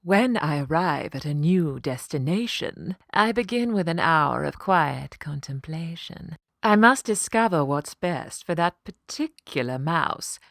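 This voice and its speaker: A grand lady cat from a children's story, played by a woman, canny and stern but kind underneath. She speaks slowly and firmly in a fairly low female voice, with a close, dry sound. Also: vocal fry, dry recording, exaggerated voice. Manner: grand, firm, measured.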